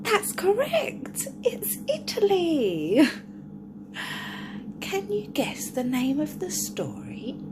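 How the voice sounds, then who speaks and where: Animated sing-song woman reading to kids. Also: high voice, noisy background. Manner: animated, sing-song, asks the listener questions. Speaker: storyteller for children. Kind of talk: reading a picture book to young children.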